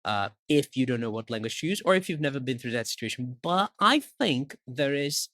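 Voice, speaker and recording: A man's voice: medium pitch, fairly quick, no room sound, studio quality. Brazilian man with British-leaning English. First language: Portuguese. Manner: steady, explanatory, some hesitant fillers. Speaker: podcast co-host. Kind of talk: casual podcast chat in English.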